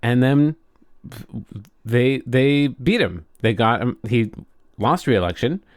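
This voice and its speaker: An American man with a mid-pitched voice talks casually as a podcast co-host. He is frank and swears loosely. The sound is dry, with very little room around it. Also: slow pace, no room sound. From United States. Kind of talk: casual chat.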